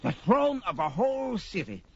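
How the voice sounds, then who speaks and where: An actor playing an old, boastful, easily indignant sorcerer in a theatrical, emphatic manner on an audio drama record. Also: male voice, high-pitched, big pitch swings, moderate pace, slightly rough, heavily acted. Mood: boastful, crafty. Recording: dull vintage story record.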